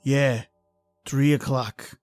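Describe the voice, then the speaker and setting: An actor playing a two-faced killer who poses as a friend, theatrical and taunting, in an old-time radio thriller. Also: male voice, medium pitch, steady tone, extremely slow, darkish tone, no echo. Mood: cold menace.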